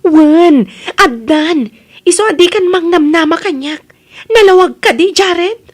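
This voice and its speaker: An actress plays a young Filipino working woman who is short of money and quick to defend herself. Her voice is very high and swings freely as she pleads her case in comic radio-drama style. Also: lively intonation. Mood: insistent. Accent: Philippine. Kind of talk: Ilocano comedy radio drama acting.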